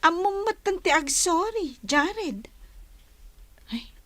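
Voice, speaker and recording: A woman's voice: very high-pitched, very animated, slow, a bit bright, heavily acted. A woman playing an outspoken, teasing young village woman in a radio drama, with an Ilocano accent. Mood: guarded, teasing.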